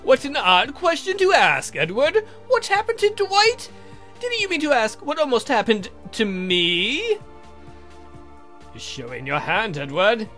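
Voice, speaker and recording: A man voicing a sardonic, theatrical vampire count for a visual novel game, mocking and campy in a drawn-out way. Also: medium pitch, very animated, fairly bright tone, faint music behind. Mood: taunting.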